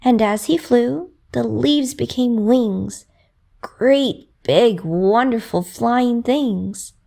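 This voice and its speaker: A woman narrating a children's bedtime story in a rhythmic, expressive way with dramatic emphasis. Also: on the high side, extremely slow, vocal fry.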